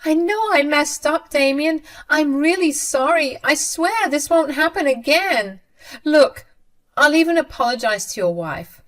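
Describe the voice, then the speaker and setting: A woman doing a shrill, begging older mother. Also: lively intonation, unhurried, a bit bright. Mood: pleading. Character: pleading, desperate older mother-in-law woman.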